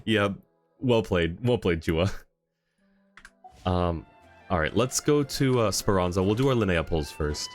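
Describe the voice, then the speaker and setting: A man with a low voice talks casually as a game streamer. He is easygoing and often thinks aloud, with faint music in the background. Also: animated, a little slow, pauses often. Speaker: game livestreamer. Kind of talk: casual livestream chat.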